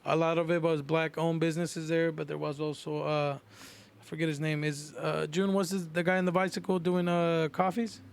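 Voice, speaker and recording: Higher-pitched man chatting casually on a podcast. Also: little pitch movement, moderate pace, gravelly, clear, resonant tone. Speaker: podcast co-host. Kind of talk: casual chat.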